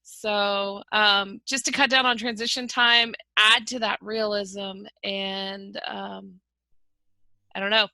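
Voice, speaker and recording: A woman's voice: medium pitch, level tone, slow pace, sharp tone, dry recording. A woman offering tentative, thoughtful suggestions in a casual video-call discussion. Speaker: table read participant offering staging ideas. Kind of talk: casual suggestions in a group chat.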